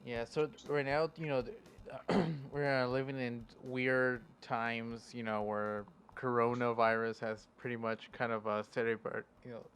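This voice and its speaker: A man's voice: mid-range voice, little pitch movement, moderate pace, hardly any pauses, a bit bright, slight background noise. A man from Mexico hosting a podcast, asking questions casually with many fillers and restarts. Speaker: podcast host. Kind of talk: casual interview.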